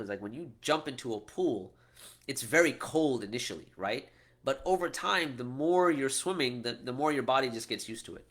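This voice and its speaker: A man's voice: medium pitch, fairly bright tone. A middle-aged man, an Islamic teacher, answering questions in a casual talk, often ending points with "right?". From United States. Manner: conversational, frequent "right?" tag questions and restarts.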